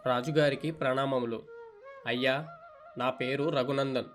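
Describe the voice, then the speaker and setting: A man's voice: mid-range voice, fairly even intonation, measured pace, soft background music, natural voice. A man voicing an earnest, respectful young musician in a children's cartoon story. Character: earnest, troubled young male musician, devoted son.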